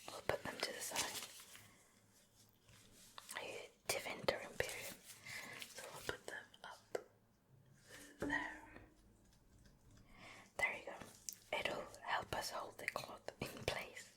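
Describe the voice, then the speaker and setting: A woman doing an ASMR show and tell in a soft, breathy whisper close to the microphone, gently murmuring her commentary. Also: takes it slowly, long pauses. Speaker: ASMR video creator. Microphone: close microphone, ear-to-ear ASMR recording.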